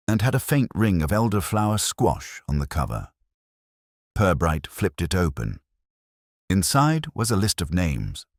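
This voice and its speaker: A man's voice: extremely low pitch, no room sound, clean studio sound. A man narrating a scripted mystery story.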